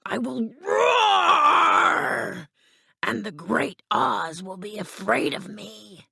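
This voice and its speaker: A woman's voice: a little high, lively intonation, extremely slow, creaky, bright, forceful tone, slightly rough, no echo, studio quality, voice pushed up, exaggerated voice. A woman voicing a cowardly male lion in a storybook, fearful and plaintive as he longs for courage. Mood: nervous bravado.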